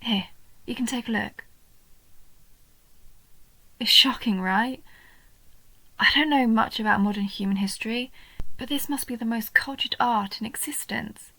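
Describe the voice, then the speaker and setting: A woman voicing a young female goblin in a roleplay audio, a nerdy, sweet and curious bookworm who talks earnestly and eagerly, sometimes softly breathy. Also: on the high side, measured pace, pauses often, dry recording, exaggerated voice, low-quality recording. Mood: fascinated, earnest. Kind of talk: roleplay audio, intimate one-sided scripted dialogue.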